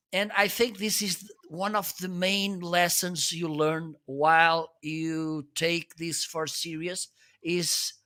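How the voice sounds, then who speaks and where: Slow, bright-voiced man. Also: high-pitched. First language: Portuguese. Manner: earnest, checks in with "okay?". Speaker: live show host. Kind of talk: casual live-stream chat.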